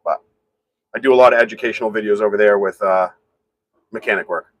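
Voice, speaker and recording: A man hosting a casual live stream from his garage, rambling and answering viewers' comments in a very loud voice. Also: lowish voice, fast, slight background noise. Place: home garage.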